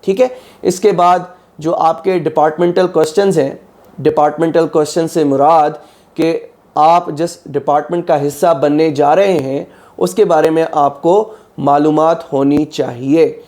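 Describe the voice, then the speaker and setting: A man's voice: on the high side, steady tone, measured pace, a little mellow. A man with a slightly sore throat, methodically teaching exam preparation and often checking in with his students. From Pakistan. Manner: methodical, repeats "ठीक है" often. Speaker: exam prep tutor. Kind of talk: instructional lecture.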